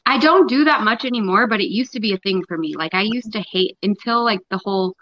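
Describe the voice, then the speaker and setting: A woman's voice: medium pitch, fairly quick, muffled recording. A young woman guest chatting casually and hesitantly, full of filler words. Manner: hesitant, lots of likes. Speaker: livestream guest. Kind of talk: casual chat.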